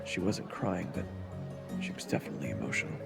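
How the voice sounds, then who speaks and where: A man acting as a young swordsman, glum and wry, narrating a fantasy audio story. The deep voice is gravelly yet expressive in pitch. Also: fast, over loud music, heavily acted. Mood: glum, reflective. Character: glum, wry young male swordsman telling his tale. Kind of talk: first-person scripted narration and audio roleplay acting.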